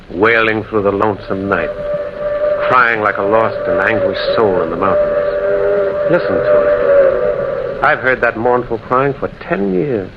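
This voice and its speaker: Brooding radio-drama loner, dull old recording. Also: male voice, lowish voice, heavy background noise. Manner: brooding, theatrical storytelling. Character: lonely, haunted male prospector narrating a ghost tale. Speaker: narrator and lead character. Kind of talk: old-time American radio drama acting.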